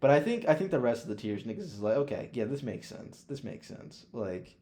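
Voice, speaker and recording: A man's voice: medium pitch, very animated, brisk, warm tone. Slangy, emphatic young man on a podcast. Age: young adult. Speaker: podcast co-host. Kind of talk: casual chat, opinionated film ranking.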